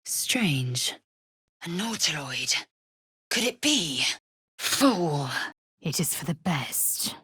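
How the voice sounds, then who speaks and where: Proud female alien warrior, low husky rasp, unhurried, imperious, clipped formal phrasing, fantasy game character. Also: very animated, bright, forceful tone, dry recording, exaggerated voice. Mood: brusque, observant. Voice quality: husky, raspy. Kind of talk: fantasy video game voice acting.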